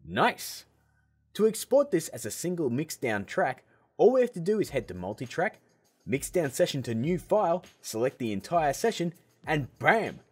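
A man hosting a software tutorial, explaining steps in a casual, upbeat way. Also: on the high side, big pitch swings, moderate pace, soft background music. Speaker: video tutorial host.

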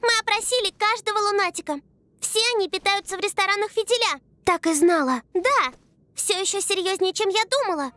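A woman's voice: very high-pitched, lively intonation, brisk, a bit bright. A woman playing a determined, inquisitive little schoolgirl in a cartoon dub. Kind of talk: animated series dub acting.

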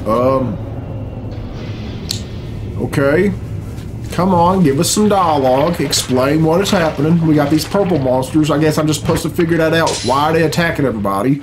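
Male reaction host, middle-aged, slightly high voice, casual and sweary, bemused off-the-cuff delivery over clear background music. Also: normal speed. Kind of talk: casual, unscripted reaction commentary. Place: small room at home, indoors. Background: game cutscene music.

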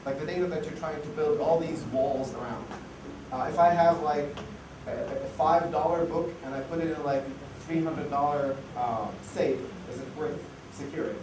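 A man's voice: on the high side, fairly even intonation, moderate pace. A man giving a casual conference talk full of fillers and restarts, while losing his voice. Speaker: conference speaker. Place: conference hall. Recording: echoey hall, far mic, noisy.

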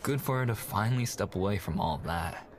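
Casting: male actor as deep-voiced confident god and wingman, casual and teasing, audio drama with noticeable background ambience. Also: expressive, a little fast, slight background noise, lightly acted. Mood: gossipy, impressed. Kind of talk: audio roleplay acting.

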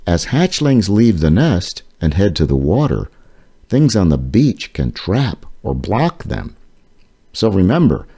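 A man reading an educational children's book aloud as its author, phrasing things deliberately and explaining them for children. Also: low-pitched, warm tone.

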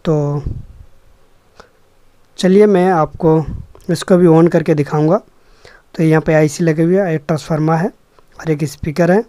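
A man's voice: fairly high pitch, fairly even intonation, unhurried, long pauses, mellow, no room sound. Man, young adult, repair vlogger, explanatory and repetitive, dry recording. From Jharkhand, India. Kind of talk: casual hands-on demonstration narration.

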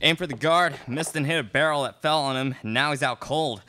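A man's voice: on the high side, brisk, bright, forceful tone. A young man playing a cocky, menacing outlaw leader in a live student radio play. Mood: confident. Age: young adult. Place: classroom studio, indoors. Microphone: stand microphone. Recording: noisy live room recording with clicks.

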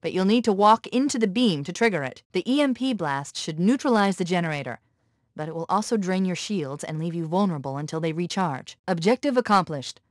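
A woman voicing a quick-witted, analytical military artificial intelligence in a video game, with a crisp, authoritative manner. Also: lowish voice, animated, no room sound, clean studio sound, close to an everyday voice. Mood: analytical, focused. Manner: crisp, precise, authoritative briefing.

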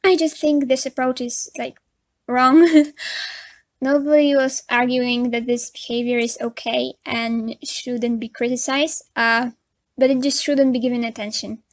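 A woman's voice: a little high, flat delivery, measured pace, dry recording. A young woman with a Polish accent giving frank, chatty commentary over a drawing video, with nervous laughs and many fillers. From Poland. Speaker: commentary YouTuber.

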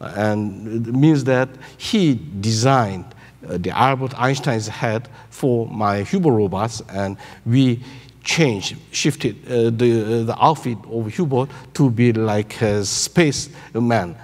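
A man in his sixties with a Korean accent, giving a conference keynote in halting English, searching for words. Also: medium pitch, a little mellow. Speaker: keynote speaker, robotics researcher. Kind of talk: conference presentation. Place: large conference hall on stage. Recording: echoey hall through PA.

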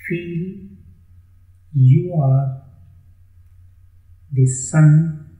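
A man narrating a guided meditation in a measured way, with long pauses between short phrases. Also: on the high side, dark tone, reverberant, low-quality recording.

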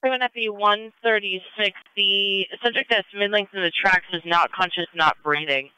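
A woman's voice: mid-range voice, normal speed, sharp tone, clean tone, dry recording, rough recording. A woman working as a police radio dispatcher, speaking in clipped, procedural phrases over a scanner. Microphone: radio dispatch channel.

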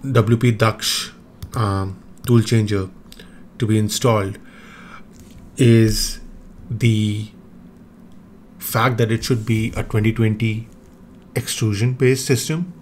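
A low-pitched man, a native Hindi speaker, walks through his own hobby hardware design over a screen recording. He speaks slowly, pausing often with ums and self-corrections. A faint background hiss sits under the voice. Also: level tone, a little mellow. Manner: hesitant, many ums and restarts. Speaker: maker explaining his own 3D printer design. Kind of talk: screen-recorded technical walkthrough.

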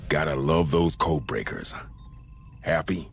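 Very deep, gravelly security captain. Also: male voice, lively intonation, unhurried, faint music behind, full character voice. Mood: sardonic calm. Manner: sardonic, gruff, unruffled. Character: hardened, cynical male corporate security captain. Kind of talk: cinematic game acting.

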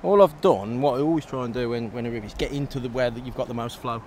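A man, giving a relaxed, detailed walk-through of his fishing rig outdoors by a river. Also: medium pitch, quick pace. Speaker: match angler guest. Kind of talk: casual explanation of fishing tackle. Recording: outdoor recording, faint noise.

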